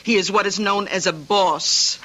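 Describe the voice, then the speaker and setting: A woman's voice: mid-range voice, fairly even intonation, measured pace, sharp tone. An actress playing a worried, firm middle-aged wife and mother in a radio drama, urgent and demanding. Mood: insistent. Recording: noisy old radio recording.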